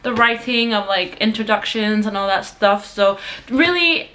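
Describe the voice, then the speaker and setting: A woman's voice: mid-range voice, expressive, unhurried, bright, forceful tone, rough recording. A young woman from Sweden who vlogs, chatting to her camera in a casual, rambling way, with plenty of fillers and restarts. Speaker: vlogger.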